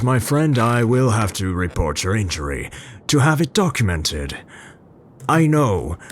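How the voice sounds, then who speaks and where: A man playing a tender, scholarly scientist in an intimate ASMR roleplay, speaking formally and haltingly. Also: fairly low pitch, slight background noise. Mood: apologetic, matter-of-fact. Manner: halting, formal, professorial, hesitant pauses. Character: tender, scholarly Dutch male scientist, brooding but gentle.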